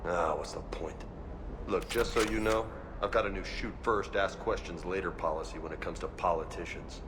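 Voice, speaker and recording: An actor playing a brash, jaded soldier in a video game, grumbling in an informal, slangy way. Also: male voice, low-pitched, normal speed, lightly acted. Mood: exasperated. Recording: clear ambient noise.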